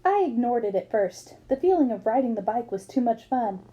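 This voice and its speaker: A woman's voice: a little high, big pitch swings, a little fast, dark tone, rough recording. A woman reading a book aloud expressively, as for children, acting up the dialogue. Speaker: book reader.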